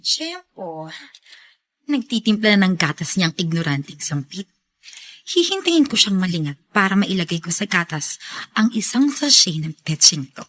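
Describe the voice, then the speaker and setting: A woman's voice: fairly low pitch, sing-song, moderate pace, a bit bright. A woman playing a spiteful, scheming, jealous rich young woman in a Filipino radio drama, sneering and haughty in her delivery. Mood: gloating, scheming.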